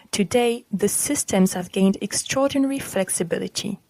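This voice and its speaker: A woman presenting a podcast, reading a scripted text in a formal, measured manner. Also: medium pitch, a little mellow, dry recording, poor audio. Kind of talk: scripted narration.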